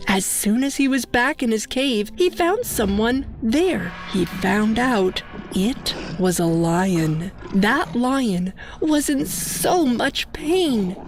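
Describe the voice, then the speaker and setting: A woman narrating a scripted moral story as a storyteller, in a simple, deliberate manner. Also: fairly high pitch, expressive, faint music behind.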